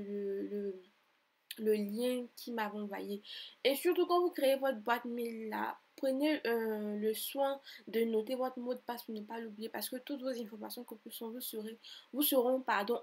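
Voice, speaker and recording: Husky young Ivorian woman explaining. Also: a little high. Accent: Ivorian French. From Côte d'Ivoire. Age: 20s. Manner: chatty, repeats herself, grows exasperated and emphatic. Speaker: tutorial vlogger. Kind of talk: screen-recorded voice-over tutorial.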